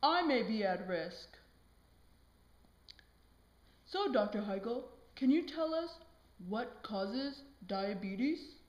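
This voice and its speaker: A woman's voice: a little high, lively intonation, drawn-out words, pauses often. A woman playing an earnest, polite police officer who conducts a scripted TV interview. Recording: dull, echoey room recording.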